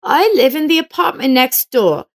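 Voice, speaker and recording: A woman's voice: high-pitched, expressive, moderate pace, voice pushed up. A woman voicing a kindly, sorrowful elderly neighbor with an earnest, emphatic manner in an audio story. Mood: concerned.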